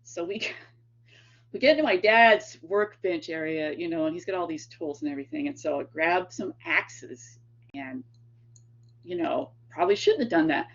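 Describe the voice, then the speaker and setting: A woman's voice: fairly low pitch, normal speed. Tennessee-accented woman lecturer, rambling anecdotal delivery, dull lo-fi capture. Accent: East Tennessee. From East Tennessee. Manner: chatty, rambling anecdotes with many fillers and laughs. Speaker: guest lecturer and author. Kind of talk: storytelling lecture. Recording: dull lo-fi sound.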